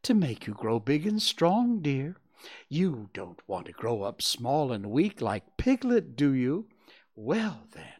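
A storybook reader voicing a brisk, motherly female kangaroo, teasing and firm, by turns soothing and mock-severe. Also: medium pitch, big pitch swings, a little mellow, husky, pitched above the performer's own voice, full character voice. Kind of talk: children's storybook character voice.

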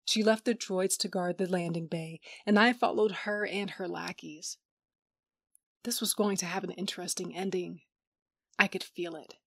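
A woman's voice: on the low side, fairly even intonation, normal speed, creaky, no echo, clean studio sound. A woman playing a wary adventurer, narrating a space story in the first person. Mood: wary, knowing.

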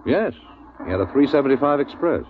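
An actor playing an earnest, anxious, bookish university scientist in a 1950s radio drama. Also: male voice, medium pitch, big pitch swings, brisk, close to an everyday voice. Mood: alarmed. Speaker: supporting actor. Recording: tinny, narrow-band old radio recording.

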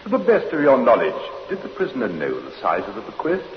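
A man's voice: medium pitch, wildly swooping pitch, a little hiss or hum. A prosecuting barrister in an old radio drama. His formal, probing voice rises and falls widely. It rings in a long hall echo on a muffled recording. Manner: formal, deliberate questioning. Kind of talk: 1950s radio courtroom drama acting.

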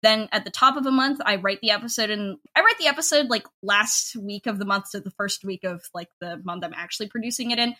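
A woman's voice: mid-range voice, a little fast, hardly any pauses, bright, forceful tone. A woman in her twenties talking casually as an interview guest, in a chatty, informal way peppered with "like". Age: 20s. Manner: informal, chatty, heavy use of "like" as filler. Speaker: podcast creator and writer, interview guest.

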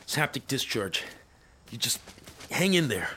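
An actor playing a weary, determined military veteran with failing implants in an audio drama, gritty and urgent. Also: male voice, mid-range voice, big pitch swings, measured pace, bright, forceful tone, slight background noise. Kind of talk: cinematic audio drama acting with noir narration.

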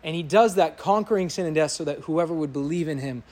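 A pastor preaching an earnest, emphatic sermon to his congregation in a church sanctuary, with a loud voice that builds to impassioned peaks. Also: fairly high pitch, quick pace, a little hiss or hum.

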